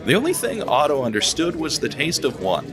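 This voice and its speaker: A man narrating a scripted audio drama in a steady storytelling manner, over background music. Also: fairly high pitch, fairly quick.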